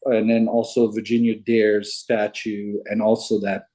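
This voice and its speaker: A man from Hawaii on a video call, explaining his puzzle theories in a halting, unhurried way. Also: lowish voice, completely flat delivery, warm tone. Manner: unhurried, halting, restarts often. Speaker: caller sharing puzzle theories. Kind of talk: casual video call chat. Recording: video call audio, breaking up.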